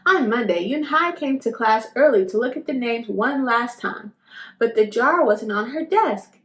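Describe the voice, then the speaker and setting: A woman narrates a children's picture book with lots of expression. Her voice sits fairly high and moves up and down in a very lively way, as scripted read-aloud narration for young listeners. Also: measured pace.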